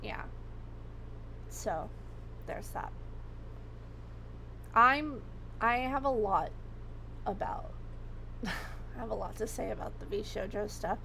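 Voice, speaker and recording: A woman livestreamer chatting casually, her voice going hoarse as she rambles and swears freely. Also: medium pitch, takes it slowly, long pauses, bright, forceful tone, slight background noise, rough recording. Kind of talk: casual chat.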